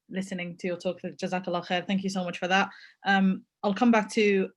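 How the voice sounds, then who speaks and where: Measured woman moderating a webinar. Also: medium pitch. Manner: measured, pausing often. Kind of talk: hosting an online panel. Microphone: video call.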